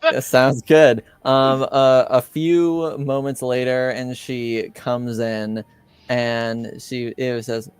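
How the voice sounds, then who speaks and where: A man running a tabletop roleplaying game as game master, narrating haltingly with frequent pauses mid-sentence. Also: mid-range voice, level tone, clear, resonant tone, no room sound. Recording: music playing behind. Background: background music.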